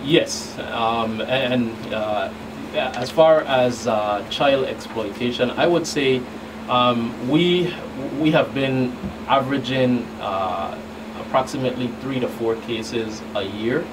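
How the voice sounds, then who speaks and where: A middle-aged man answering interview questions as a guest investigator, in a halting way with repeated words. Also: mid-range voice. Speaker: guest federal investigator on a radio show. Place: small radio studio. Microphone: studio mic on boom arm. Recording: distant mic, clearly noisy room hum.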